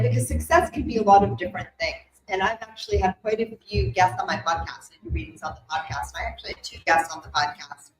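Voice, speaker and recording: Chatty woman giving spirit readings. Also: medium pitch. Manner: warm, rambling, full of fillers and asides. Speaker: intuitive reader giving messages to an audience. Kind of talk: live group reading, casual and chatty. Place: event room with an audience. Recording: echoey room.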